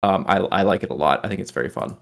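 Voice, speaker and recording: A man's voice: low-pitched, quick pace, creaky. A man co-hosting a podcast, sharing his opinions in informal, casual group conversation. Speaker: podcast co-host. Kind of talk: casual group chat about entertainment.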